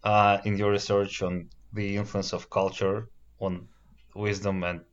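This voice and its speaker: A man's voice: fairly low pitch, little pitch movement, a little slow. A man whose first language is Russian, politely hosting an interview with careful, hesitant phrasing. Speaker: podcast host. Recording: dull lo-fi recording.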